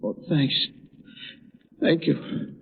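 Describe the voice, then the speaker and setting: A starved desperate prisoner in an old radio play, slow breathy voice, big tomb echo. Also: male voice. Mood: weak, desperate. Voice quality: husky, breathy, feeble. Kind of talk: old-time radio drama acting. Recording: echoing vault reverb, dull old recording.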